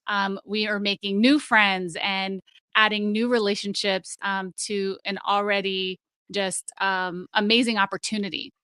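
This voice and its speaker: A woman's voice: medium pitch, sharp tone, clean tone, dry recording. A woman hosting an interview in an upbeat way, with plenty of "you know" and "um". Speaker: host.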